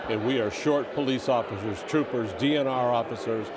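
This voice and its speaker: A man's voice: medium pitch, moderate pace. Assertive male politician in a noisy lobby. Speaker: state representative. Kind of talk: political interview response. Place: large indoor statehouse lobby. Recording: noisy public lobby ambience.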